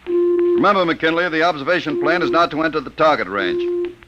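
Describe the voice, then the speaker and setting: An actor playing a brusque army general with a clipped, authoritative manner in an old radio drama. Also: male voice, fairly high pitch, expressive, brisk, lightly acted. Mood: tense, focused. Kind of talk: 1950s radio drama acting. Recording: muffled old radio broadcast with loud music.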